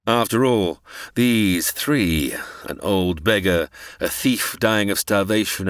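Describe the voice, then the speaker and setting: A sinister gentleman villain with a deep, creaky voice. He speaks with silky mock courtesy and hugely swooping, theatrical intonation, as in a melodramatic radio comedy. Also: no echo, clean studio sound. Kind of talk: melodramatic comic radio drama acting.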